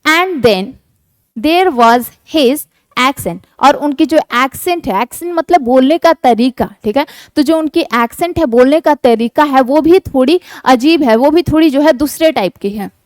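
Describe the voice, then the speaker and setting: A woman teaches a textbook chapter, her voice sitting high. She reads English passages slowly and unpacks them in Hindi, dotting her talk with "okay". The sound is close and dry, with no room to it. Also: expressive, dry recording. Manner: reads English lines slowly, then explains with frequent "ठीक है" fillers.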